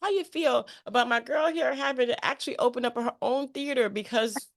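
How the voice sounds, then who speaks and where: A woman's voice: fairly high pitch, fairly quick. A woman hosting a casual interview in a chummy, emphatic way, often repeating phrases for emphasis. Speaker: interview show host.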